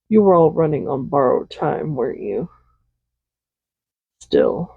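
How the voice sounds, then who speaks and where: A man playing a smug, possessive villain who dotes on a captive hero in an intimate audio roleplay, soft-spoken, unhurried and condescending. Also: on the high side, gravelly, breathy edge, no room sound, narrow, tinny audio, full character voice. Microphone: close USB condenser microphone.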